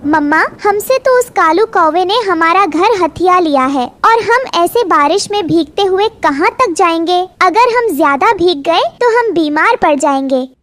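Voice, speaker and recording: A woman's voice: extremely high pitch, expressive, a little slow, hardly any pauses, fairly bright tone, no room sound, voice pushed up, exaggerated voice. A woman voicing a worried little baby bird in a cartoon fable, plaintive and childlike. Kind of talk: animated fable dialogue.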